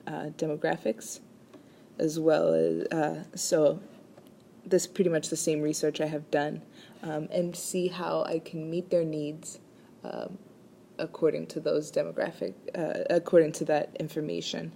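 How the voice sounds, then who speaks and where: A woman's voice: sits low, flat delivery, unhurried, vocal fry, mellow, slight background noise. A woman from Rwanda presents a class assignment as a chiropractic student, speaking hesitantly with many ums and restarts.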